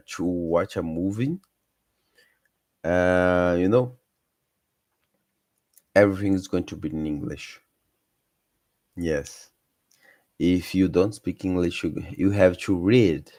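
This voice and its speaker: Slow-talking man, low voice. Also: dark tone, dry recording, clean studio sound. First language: Portuguese. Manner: hesitant, many fillers and self-corrections, encouraging. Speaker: vlogger sharing language tips. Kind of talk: casual unscripted talk to camera.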